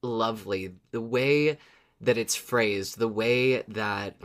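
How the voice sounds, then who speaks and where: Podcast co-host; casual, agreeable conversational delivery, working through ideas out loud. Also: mid-range voice, takes it slowly.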